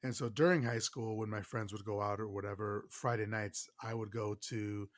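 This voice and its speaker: A man's voice: on the low side, steady tone, normal speed. A man with a stuffed-up, nasal voice co-hosting a podcast in casual chat, enthusiastic and swearing freely. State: stuffed-up nose. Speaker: podcast co-host.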